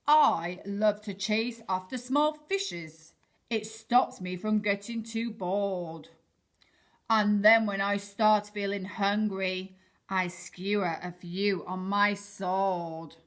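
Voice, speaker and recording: A woman voicing a bold swordfish hunter from a children's storybook in a loud, boastful manner. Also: medium pitch, takes it slowly, close to an everyday voice.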